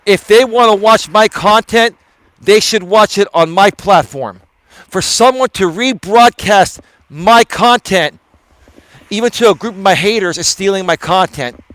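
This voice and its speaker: A man's voice: sits high, unhurried, fairly bright tone, no echo, low-quality recording. Tired, emphatic male streamer. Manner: emphatic, rambling, addresses viewers as folks. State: tired, slightly hoarse. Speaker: life coach and live streamer. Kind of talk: casual live stream talk to chat. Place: outdoors, walking at dusk. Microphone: phone camera held while walking.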